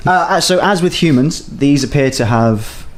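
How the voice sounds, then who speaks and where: A man's voice: on the high side, animated, normal speed. A man narrates a tabletop game as its dungeon master, matter-of-fact and wry. There is a faint hiss under his voice.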